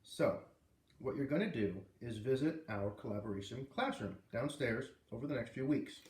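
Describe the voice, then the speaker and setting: A man's voice: medium pitch, normal speed, a little mellow, reverberant, recorded from a distance, natural voice. Man reading as a serious, patient male schoolteacher. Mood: earnest, serious. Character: calm, earnest male schoolteacher addressing his class.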